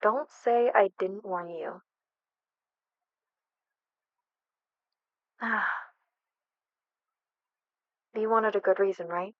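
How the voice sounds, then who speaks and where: A woman's voice: mid-range voice, moderate pace, no echo, dull audio, natural voice. A young woman acting in an ASMR roleplay as a flustered best friend hiding a crush, speaking casually with hesitant stammers. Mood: nervous, tender. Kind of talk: ASMR roleplay, one-sided conversational acting.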